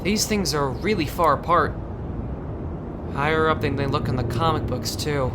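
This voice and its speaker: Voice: mid-range voice, normal speed, heavy background noise, natural voice. A performer playing a sarcastic, insecure teenage boy who narrates his would-be superhero life with wry self-mockery in a comic dub. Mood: wry, frustrated. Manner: wry, self-mocking, expressive. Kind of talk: first-person narration and comic dub acting. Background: music.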